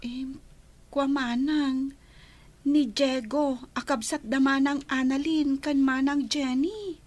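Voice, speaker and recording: A woman's voice: high-pitched, steady tone, takes it slowly, darkish tone. A woman with an Ilocano accent playing a sweet, deferential younger sister in a radio comedy-drama. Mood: hesitant.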